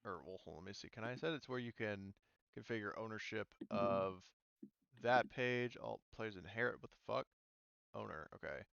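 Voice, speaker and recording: A man with a low, very clear voice talks casually as a tabletop game master. He stops and restarts as he thinks aloud. The sound is close and dry, slightly dulled by the microphone. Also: fairly bright tone, dry recording, dull audio. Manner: halting, thinks aloud mid-sentence.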